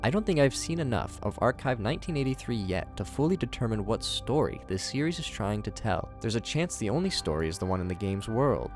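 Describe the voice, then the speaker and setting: A man's voice: fairly low pitch, darkish tone. A man giving scripted video commentary as the host of the show. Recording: music under the voice. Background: background music.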